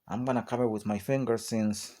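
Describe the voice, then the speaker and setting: A man's voice: medium pitch, fairly even intonation, fairly quick, mellow. A man giving a hands-on auto repair tutorial, explaining each step in a plain, practical way. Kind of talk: hands-on how-to narration.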